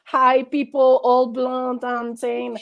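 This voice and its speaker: A woman's voice: sits high, monotone, slow, creaky, dull audio. A young woman hosting a live video-call interview, chatty and laughing, talking casually into an earphone mic in a small room. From Colombia. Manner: chatty and laughing, with many fillers. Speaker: host.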